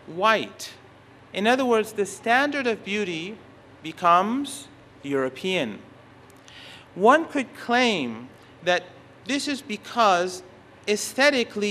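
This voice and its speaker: A middle-aged man whose first language is Persian, giving an academic conference talk, halting often and restarting his phrases. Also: high-pitched, fairly bright tone. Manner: halting, restarts phrases, stresses key words. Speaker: conference speaker. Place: university conference hall.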